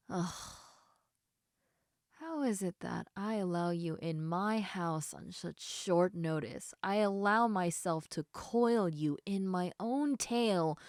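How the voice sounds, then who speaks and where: Woman acting a drowsy, unhurried snake-girl. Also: on the low side, gravelly, a little mellow, dry recording, studio quality. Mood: drowsy grumbling. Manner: casual, rambling, playfully teasing. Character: sleepy, teasing, affectionate female lamia, half snake. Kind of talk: intimate roleplay audio addressed to the listener.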